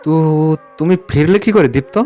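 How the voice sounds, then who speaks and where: A man voicing a terrified, guilty woman in a horror story, with halting, panicked questions. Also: a little high, mellow, faint music behind, voice pushed up, lightly acted. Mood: frightened, stammering. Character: terrified, guilt-ridden woman confronted by her returned partner.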